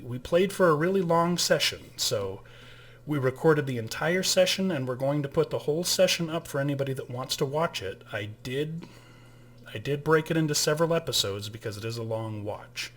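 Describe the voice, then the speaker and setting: A man's voice: medium pitch, a little hiss or hum. A man who ran the game, casually explaining a tabletop game's rules and holding up items as he talks, with some restarts along the way. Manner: relaxed, with fillers and restarts, showing items to camera.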